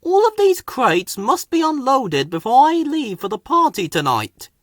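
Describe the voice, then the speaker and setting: A man voicing a bossy, quick-tempered railway controller in a children's storybook. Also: extremely high pitch, huge pitch swings, no room sound, studio quality, pitched above the performer's own voice, heavily acted. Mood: brisk.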